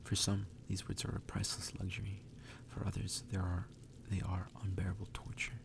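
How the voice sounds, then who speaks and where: A man softly reading a story aloud as ASMR, often breathy and half-whispered, with a gravelly fry. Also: low-pitched, completely flat delivery, brisk, noisy background. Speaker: ASMR reader. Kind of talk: soft ASMR reading of a visual novel. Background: music.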